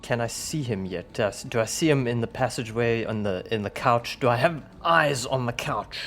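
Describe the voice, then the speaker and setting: A man's voice: mid-range voice, normal speed, fairly bright tone. A man playing a tabletop roleplaying game, talking casually at the game table. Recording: music playing faintly behind.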